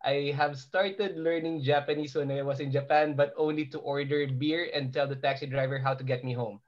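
A man's voice: a little high, steady tone, normal speed. A man answering on a webinar panel, playful and slipping into laughs between words. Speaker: medical director panelist. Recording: dull lo-fi video call audio.

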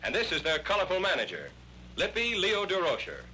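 Jaunty, animated 1940s American newsreel man. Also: high-pitched, brisk. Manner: jaunty, wry, wisecracking. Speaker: newsreel narrator. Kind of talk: 1940s sports newsreel narration, scripted. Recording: noisy vintage 1940s newsreel soundtrack.

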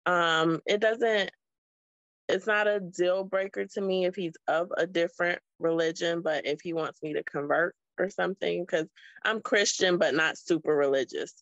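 A woman's voice: fairly low pitch, moderate pace, a bit bright, no echo. A woman in her late thirties from South Florida, speaking on a video call. Her talk is friendly and reflective, with plenty of ums. The audio is dull and dry. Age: 30s. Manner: friendly, thoughtful, with frequent ums. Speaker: guest. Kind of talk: casual video call chat. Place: small room indoors.

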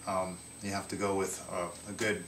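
A man in his thirties casually showing his outdoor gear from a table in his living room, pausing often with ums and restarting his sentences. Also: deep voice, level tone, fairly bright tone, roomy sound, recorded from a distance, a little hiss or hum. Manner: halting, many ums and restarts.

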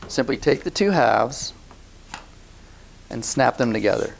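Gravelly man giving calm instructions. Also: medium pitch, measured pace, a little hiss or hum. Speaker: product demonstrator. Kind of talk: scripted instructional voice-over.

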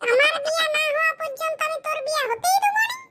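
A boastful young bachelor from a comedy cartoon, chirps in an extremely high, electronically raised voice. The speech is emphatic and bickering, with a rural West Bengali accent. The audio is clearly noisy. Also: male voice, fast. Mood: boastful, defiant. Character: boastful, squeaky young bachelor selling saris online. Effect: pitched-up cartoon voice. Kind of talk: comic cartoon dialogue.

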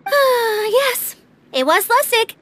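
A woman's voice: very high voice, animated, slow pace, a bit bright, slight background noise, full character voice. A woman playing a dutiful, polite young female caretaker in a video game. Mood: troubled.

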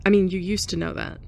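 A sarcastic, tough-talking young woman who used to be the bully, voiced by a woman in an audio roleplay. Her voice sits low and gravelly, and she talks slowly and bluntly with heavy sighs. A faint hiss sits under the recording. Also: a little mellow. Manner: sarcastic, blunt, sighing and scoffing. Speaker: ASMR roleplay performer. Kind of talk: F4M ASMR roleplay.

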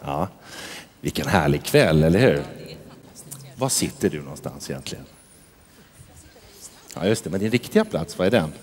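A man playing a fussy, uptight event host in a comedy sketch who orders guests around and bursts into shouting. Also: rumbling low, lively intonation, long pauses, soft background music. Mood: prim. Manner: prim and bossy, bursting into shouting. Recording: echoey hall with crowd and noise.

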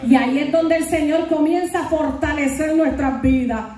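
A woman's voice: high-pitched, normal speed, a little mellow. A middle-aged woman pastor preaching a fervent sermon, peppering her words with hallelujahs and praise. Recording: very echoey hall, voice from far off.

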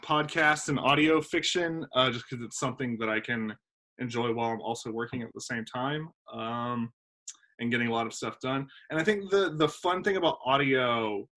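A man in his thirties, originally from South Carolina, talks casually about his work over a video call from home. He fills pauses with ums and jokes at his own expense. His voice is bright and forward. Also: mid-range voice. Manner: chatty and self-deprecating, with many ums and uhs. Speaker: theater director and playwright. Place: home room with windows. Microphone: video call laptop mic.

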